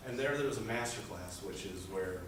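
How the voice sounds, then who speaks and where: A teenage high school boy with a low speaking voice gives an earnest, rambling presentation about his senior project, with many fillers. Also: a little fast. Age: teens. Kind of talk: presentation speech. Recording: echoey room, distant mic, background noise.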